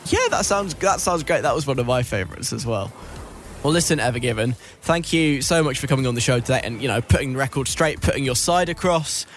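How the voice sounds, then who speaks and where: A man's voice: medium pitch, lively intonation, a little fast, soft background music. A young British man hosting a radio show in a conversational, wry, chatty style.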